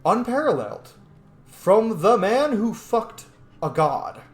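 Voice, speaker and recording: A man's voice: sits high, sing-song, slow pace, faint music behind. A man giving casual, rambling gameplay commentary as a Let's Play host, informal and chatty. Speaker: video game streamer, Let's Play host. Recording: roomy mic with faint noise.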